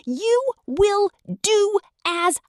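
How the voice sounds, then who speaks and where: A woman doing a shrill bossy little girl. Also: animated, drawn-out words, no room sound, studio quality, heavily acted. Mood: commanding. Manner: sharp, commanding orders.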